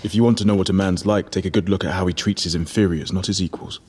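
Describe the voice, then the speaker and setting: A man playing a brooding, sharp-witted fugitive wizard in an audiobook drama, questioning in a deliberate, probing way. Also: low voice, steady tone, husky. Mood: grim, knowing. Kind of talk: full-cast audiobook drama.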